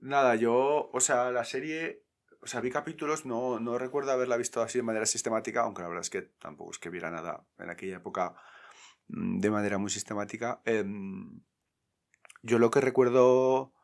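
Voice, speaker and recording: A man's voice: mid-range voice, steady tone, moderate pace, gravelly. A man co-hosting a podcast in casual chat, wandering through his thoughts with many fillers. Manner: meandering, hesitant, with many fillers. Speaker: podcast co-host.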